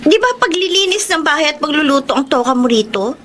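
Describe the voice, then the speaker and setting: A woman playing a humble, dutiful housemaid in a radio drama, earnest and expressive, with a Filipino accent. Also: high-pitched, fast, slight background noise. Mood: puzzled, resentful.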